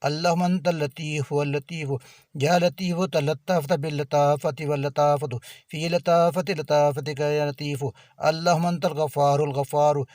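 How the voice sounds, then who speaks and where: A man reciting a long devotional supplication, chanting one divine name after another in a steady, repetitive rhythm. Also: a little high, brisk, no echo, studio quality.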